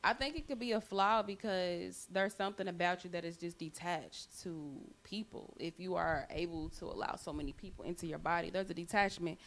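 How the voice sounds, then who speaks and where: Earnest woman with a low, gravelly voice. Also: a little fast, hardly any pauses, fairly bright tone. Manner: earnest, speaks from personal conviction. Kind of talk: casual group chat.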